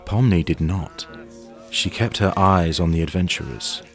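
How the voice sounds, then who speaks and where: A man's voice: low-pitched, a little slow, faint music behind. A man reading scripted story narration in the third person for an audio drama.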